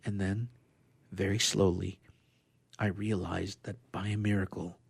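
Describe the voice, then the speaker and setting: A lowish-voiced man reads classic science fiction aloud as a radio player. His delivery is dramatic, stretching out key phrases with emphasis. The sound is dry and close, with little room in it. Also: flat delivery, measured pace, vocal fry, slightly rough, dry recording.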